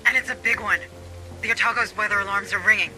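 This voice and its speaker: A tense, high-voiced military officer. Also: male voice, steady tone, quick pace, bright, forceful tone, faint music behind, low-quality recording. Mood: focused. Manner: urgent, technical briefing delivery. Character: serious, cautious military officer advising marines from base. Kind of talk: military video game voice acting.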